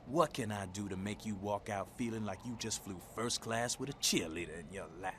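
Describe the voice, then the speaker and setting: A man's voice: fairly low pitch, a little fast, fairly bright tone, slight background noise, lightly acted. An actor playing a brash, foul-mouthed male thug in a video game, with a cocky, slangy, threatening manner. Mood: cocky, menacing.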